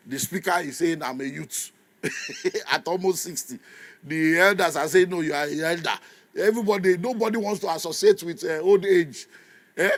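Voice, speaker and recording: A Nigerian man in his fifties preaching a sermon as pastor, animated, dropping into Pidgin asides and laughing often. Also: on the high side.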